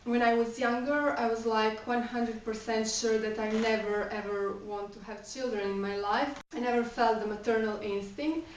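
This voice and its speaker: A woman's voice: a little high, fairly even intonation, moderate pace, hardly any pauses, highs cut off, a little hiss or hum. A woman in her thirties, a non-native English speaker whose first language is Croatian, answering viewer questions in a chatty vlog, with many fillers. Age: 30s. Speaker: vlogger. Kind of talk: casual Q&A vlog. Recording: echoey room, mic a bit far off.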